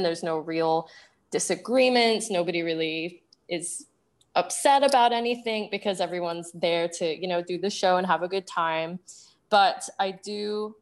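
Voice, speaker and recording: A young woman from the UK, raised in Asia, with a British accent tinged by Singaporean English, talking things through thoughtfully with many pauses and restarts. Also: on the low side. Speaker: guest. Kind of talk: conversational interview.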